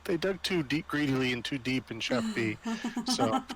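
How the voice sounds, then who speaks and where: A man's voice: mid-range voice, big pitch swings, normal speed, a loud crowd. A man playing in a tabletop role-playing game, chatting casually with the group. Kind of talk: casual chat during online game.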